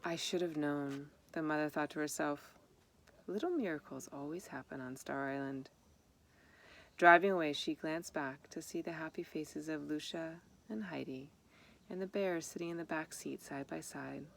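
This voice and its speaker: A woman reading a children's story aloud in an expressive manner, with informal asides about the pictures. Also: low-pitched, normal speed, gravelly. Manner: expressive, acts out dialogue. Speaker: storybook reader. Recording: noticeable background noise.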